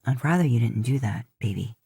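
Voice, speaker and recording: Teasing young cowboy with a low, easy voice, read by a woman. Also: expressive, a little fast, gravelly, mellow, slightly rough, no room sound, heavily acted. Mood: teasing, casual. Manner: easygoing, teasing drawl, lowered voice. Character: teasing cowboy ex-soldier, young adult man.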